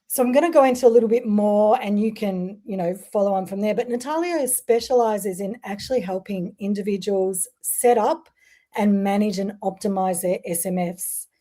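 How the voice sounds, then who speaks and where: A woman's voice: mid-range voice, dark tone. A woman hosting an interview podcast, upbeat and chatty, sometimes tripping over acronyms. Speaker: podcast host.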